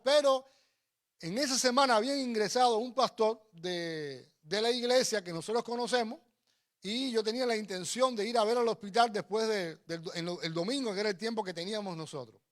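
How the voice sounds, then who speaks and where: A man preaching and telling personal stories in an emphatic, exhorting way, repeating phrases. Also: high-pitched. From Cuba. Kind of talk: sermon with personal storytelling.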